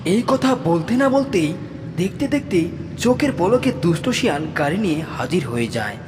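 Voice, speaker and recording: Reverberant, highish male story narrator. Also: big pitch swings, moderate pace, noisy background. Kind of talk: dramatic storybook narration for a cartoon. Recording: long hall reverb.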